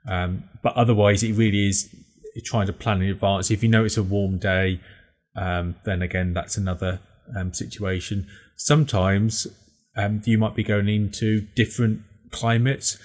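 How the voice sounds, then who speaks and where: A man in his forties with a fairly low voice talks over a headset on a video call from home. He explains practical tips in a relaxed, step-by-step way, with the odd "um" along the way. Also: normal speed. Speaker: podcast co-host. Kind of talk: casual video podcast chat. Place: home room, indoors.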